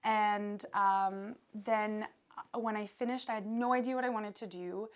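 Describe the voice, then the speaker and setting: A woman's voice: medium pitch, steady tone, unhurried. A young adult woman from Canada, a PhD student, chatting loosely in an interview with frequent laughs and restarts. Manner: chatty, rambling, laughs often. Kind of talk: casual conversational interview.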